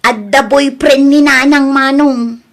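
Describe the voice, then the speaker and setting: An actress with an Ilocano Filipino accent playing a concerned, scolding adult daughter in an audio drama, earnest and admonishing. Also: female voice, high voice, fairly even intonation, takes it slowly. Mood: worried, reporting.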